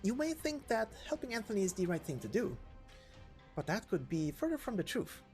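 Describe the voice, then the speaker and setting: A man's voice: high-pitched, very animated, fairly quick, darkish tone, faint music behind, pitched above the performer's own voice. A man voicing a cheery, teasing, scheming young woman in a game story, breezy and sly as she lectures.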